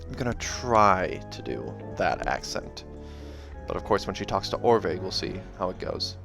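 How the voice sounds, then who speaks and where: A man reading a fantasy novel aloud as an expressive amateur narrator, with casual asides. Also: low-pitched, moderate pace, creaky, music in the background. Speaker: reader of a fantasy novel, reacting as they go.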